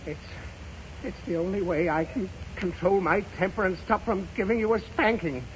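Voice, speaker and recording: A man's voice: high-pitched, normal speed, natural voice. An actor playing an exasperated, blustery father who sputters as he tries to keep his temper, in 1930s radio comedy style. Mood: strained calm. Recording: muffled noisy 1930s radio recording.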